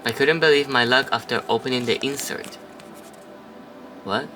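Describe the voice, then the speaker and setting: A man's voice: mid-range voice, moderate pace, sharp tone, a little hiss or hum. A man casually playing a story game, reading its narration aloud and chatting between lines, now and then swearing. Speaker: let's play host.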